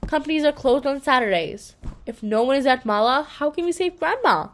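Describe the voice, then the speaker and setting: A woman's voice: high voice, lively intonation, fairly quick, voice pushed up. A woman voicing an anxious, earnest young child in a children's storybook, speaking with urgency. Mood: urgent. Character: anxious, earnest young child worried about kidnapped grandma. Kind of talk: children's storybook read-aloud.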